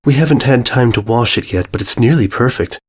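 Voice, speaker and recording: A man voicing a calm, regal female pony ruler, gracious and condescending, with unfeeling menace, in a horror story. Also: mid-range voice, brisk, breathy edge, close to an everyday voice. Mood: gracious, pleased. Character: serene, regal mare ruler, politely sinister and unfeeling. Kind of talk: dramatized horror fan fiction reading.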